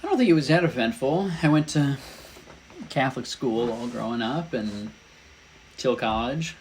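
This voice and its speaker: A young man from St. Louis, hosting a podcast and chatting casually in a relaxed, hesitant way with lots of ums. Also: medium pitch, moderate pace, slight background noise, low-quality recording. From St. Louis, Missouri. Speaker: podcast host. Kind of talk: casual conversation.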